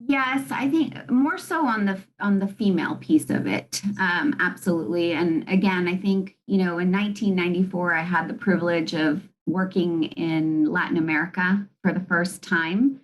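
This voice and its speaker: A middle-aged woman executive from Argentina talks through her experiences in a candid interview. She sounds earnest and reflective, often pausing on "you know". Her voice sits a little low. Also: rough recording. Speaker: business executive guest.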